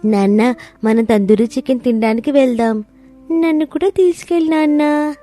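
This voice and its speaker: An eager young child in a cartoon family tale, voiced by a woman, speaks in a high but soft-edged, mellow tone. Light music plays underneath. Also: normal speed, lightly acted.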